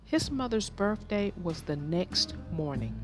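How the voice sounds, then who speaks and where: Slow expressive woman storyteller. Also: on the low side, music in the background. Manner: expressive, sing-song reading. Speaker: storybook narrator and host. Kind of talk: children's read-aloud narration.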